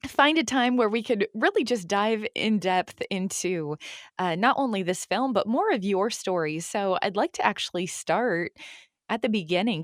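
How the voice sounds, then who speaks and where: A woman's voice: mid-range voice, dry recording, clean studio sound. A woman hosting a podcast, chatty and eager as she interviews her guest. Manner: eager and chatty, asking questions. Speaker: podcast host. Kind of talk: casual interview.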